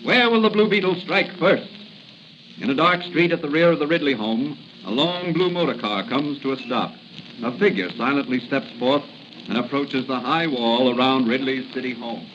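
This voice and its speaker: An actor as a suspenseful, theatrical narrator of a 1940s radio serial. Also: male voice, mid-range voice, animated, normal speed, lightly acted. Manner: theatrical rhetorical questions. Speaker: announcer and narrator. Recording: muffled, noisy 1940 radio recording.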